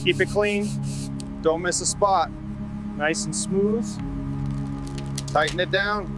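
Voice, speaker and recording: A man's voice: sits high, slow. A man giving short scripted work instructions as a mechanic. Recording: loud music over the voice.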